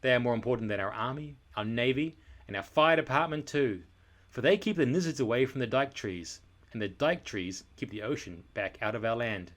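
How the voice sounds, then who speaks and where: A man's voice: medium pitch, expressive, a little fast, natural voice. A man voicing a hardworking, earnest king from a children's storybook, speaking in emphatic declarations. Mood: earnest, proud.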